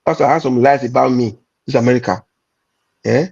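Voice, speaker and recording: Nigerian male comedian, husky gravelly voice, emphatic repeats, pidgin turns; dull lo-fi recording. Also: mid-range voice, fast talker, darkish tone. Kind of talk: candid conversational chat.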